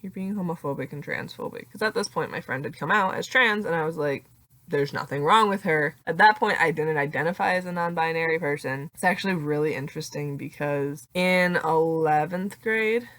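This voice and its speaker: A young adult woman with a deeper voice talking casually in a confessional vlog, full of "like" fillers and laughing often. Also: brisk, fairly bright tone, dry recording. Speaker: vlogger.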